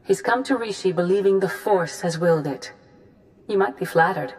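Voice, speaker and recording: An actress playing a grave, authoritative female Jedi grandmaster in a video game, speaking formally and deliberately. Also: fairly low pitch, a little mellow, lightly acted, rough recording. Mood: grave, earnest. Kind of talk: scripted video game dialogue.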